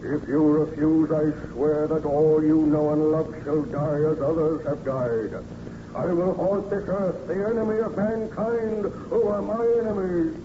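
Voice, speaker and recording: An actor playing a hideous outcast monster, pleading and menacing in a solemn, declamatory old radio horror style. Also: male voice, on the high side, fairly even intonation, close to an everyday voice. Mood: vengeful. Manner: solemn, declamatory, drawn-out phrasing. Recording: noisy, muffled old radio recording.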